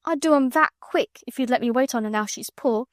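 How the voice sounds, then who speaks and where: A woman's voice: high voice, a little fast, no room sound, studio quality, voice pushed up, lightly acted. A woman voicing a timid young scullery maid, pleading and sniffling. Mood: tearful pleading.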